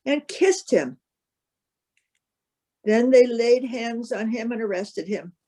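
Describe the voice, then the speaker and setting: A woman reading scripture as narrator, in a measured, formal way. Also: a little high, mellow.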